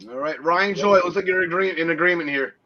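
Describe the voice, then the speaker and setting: A man hosting a wrestling panel show, recapping events with animated, emphatic delivery on slightly muffled audio. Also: high-pitched, brisk. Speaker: podcast host.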